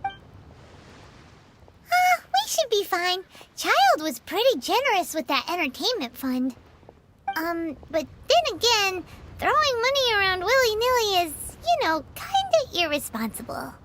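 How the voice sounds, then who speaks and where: A woman's voice: very high-pitched, wildly swooping pitch, measured pace, background noise, heavily acted. A woman voicing a tiny, bubbly, cheeky female fairy companion in a video game cutscene, who talks about herself by name. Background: game music.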